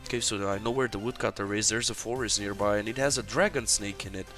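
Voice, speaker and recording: A man's voice: lowish voice, fast talker, a bit bright, faint music behind. A man from Romania, commenting live as he plays through a fantasy online game. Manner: narrates his actions, many fillers. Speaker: game developer streaming gameplay.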